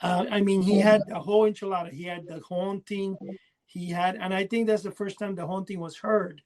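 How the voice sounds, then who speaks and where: A man with a noticeably high voice talks in a loose, conversational way, like a collector on a podcast. He rambles, doubling back and drifting away from his own point. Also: steady tone, brisk, a crowd in the background, rough recording. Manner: rambling, often losing his thread. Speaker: podcast co-host. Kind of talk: casual chat about collecting.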